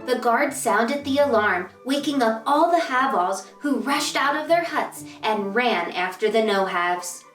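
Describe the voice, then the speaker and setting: Lady doing kids story time, kinda high voice, expressive reading, echoey room, bit of music behind. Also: measured pace, hardly any pauses. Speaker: host of a children's read-aloud show. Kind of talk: storybook read-aloud for children. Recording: roomy echo, distant mic, music behind.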